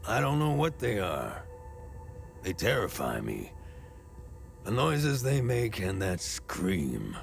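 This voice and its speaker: A bitter, devout middle-aged man worn down by war. The voice is low and gravelly, slow and grim, with expressive swings in pitch. It sounds like dramatic dialogue from a video game. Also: soft background music, full character voice. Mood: fearful, grim. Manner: grim, world-weary, deliberate.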